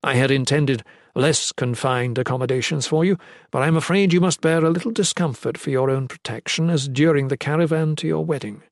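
A man's voice: fairly high pitch, brisk, no room sound, clean studio sound, lightly acted. A man playing a courtly, commanding zealot lord in an audiobook, intoning in a formal, pious way. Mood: reverent, courteous.

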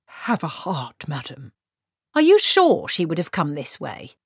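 A woman's voice: sits low, wildly swooping pitch, a little slow, breathy edge, no echo, heavily acted. A grumbling male wizard, played by a woman reading aloud in a storybook. He is reluctant and miserable, protesting with fussy, formal politeness. The recording is dry and close. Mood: indignant, dejected.

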